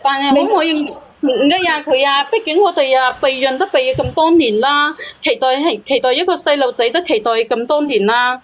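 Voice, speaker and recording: A woman's voice: high-pitched, a little fast. A woman calling in to tell her own story, pleading and defensive as she asks for help. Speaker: caller seeking relationship help. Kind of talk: unscripted personal account.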